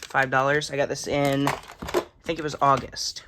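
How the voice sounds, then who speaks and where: A young man giving a casual, unscripted show-and-tell, halting and matter-of-fact as he lists items. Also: mid-range voice, flat delivery. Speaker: hobbyist collector giving a tour. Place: indoors in a room, handling plastic bins. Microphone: handheld phone camera. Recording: noisy handheld recording with clattering.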